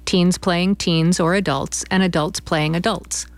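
Woman, theater company member in interview. Lower-pitched, conversational delivery, dry recording. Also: steady tone, measured pace, no room sound.

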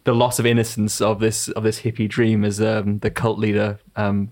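A man's voice: fairly low pitch, completely flat delivery, moderate pace, darkish tone. A man in a casual book discussion, talking in loose, restarting sentences and laughing often. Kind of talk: casual book club chat.

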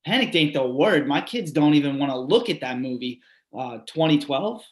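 A man's voice: fairly high pitch, lively intonation, normal speed, reverberant, lightly acted. An actor playing a longtime male resident who recounts the storm fires in an earthy, emphatic way, swearing and then apologizing. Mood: shaken. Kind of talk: readers theatre monologue.

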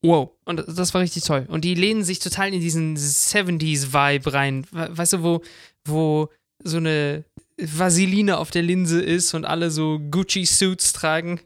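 A man's voice: fairly high pitch, steady tone, moderate pace, no echo, studio quality. A man co-hosting a podcast in casual, enthusiastic chat, mixing English words into his informal speech. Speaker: podcast co-host.

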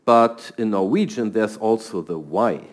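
A man's voice: fairly low pitch, measured pace. A middle-aged man from Germany with a German accent, a scientist answering interview questions thoughtfully and often repeating words as he starts. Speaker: guest scientist.

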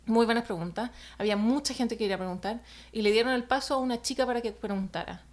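Woman storyteller, slightly high voice, hesitant, lots of fillers, casual chat. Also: fast talker. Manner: hesitant, many fillers, laughs while telling. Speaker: illustrator telling a story.

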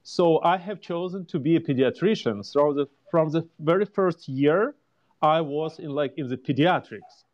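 Man, Ukrainian accent, forties, halting interview answers, dull recording. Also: a little high. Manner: halting, searching for words. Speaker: homeopath guest.

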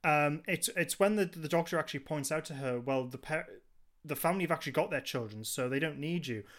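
A man co-hosting a podcast in casual review chat, rambling haltingly and chuckling as he goes. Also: a little high, fairly bright tone. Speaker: podcast co-host.

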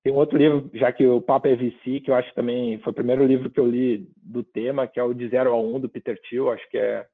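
A man's voice: mid-range voice, level tone, quick pace, hardly any pauses. Man over a tinny line. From southern Brazil. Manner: thoughtful, often ends with tag questions. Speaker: guest. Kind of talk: reflective interview answers. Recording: phone-like narrow line.

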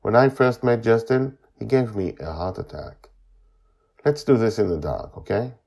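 A gentle grown woman from a storybook, acted out by a man. The voice sits somewhat raised with a husky texture, and it soothes and coaxes. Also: lowish voice, animated, normal speed, pitched above the performer's own voice. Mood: comforting. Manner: soothing, coaxing.

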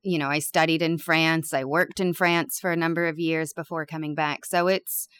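Smooth low-voiced woman, candid. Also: steady tone, normal speed, fairly bright tone. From born in Peru, raised abroad. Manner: open, candid, self-deprecating humor. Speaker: guest, bakery owner. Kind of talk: casual interview chat.

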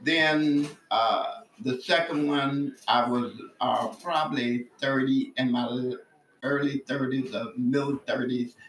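A man in his late seventies recalling his past in a casual family interview, halting and searching for details. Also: medium pitch, fairly even intonation, fairly bright tone. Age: 70s. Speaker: interviewed relative. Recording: roomy, faint background noise.

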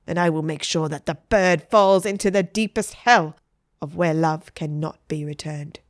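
A woman's voice: sits low, normal speed, no room sound, studio quality, close to an everyday voice. A woman voicing an intense young schoolgirl poet in a story, dramatic, easily offended and vengeful. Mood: vengeful, dark. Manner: dramatic, indignant.

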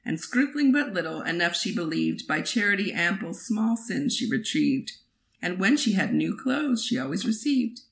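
Woman reading verse aloud in measured phrases. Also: lowish voice, very animated, vocal fry. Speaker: poet reading a poem aloud. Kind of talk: expressive poetry reading with brief asides.

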